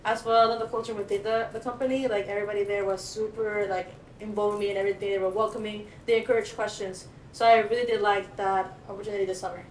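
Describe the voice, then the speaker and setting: A woman's voice: medium pitch, little pitch movement, quick pace. A young woman from El Salvador chatting in an upbeat, chatty way on a student podcast. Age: young adult. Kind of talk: casual podcast chat. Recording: echoey room, faint noise.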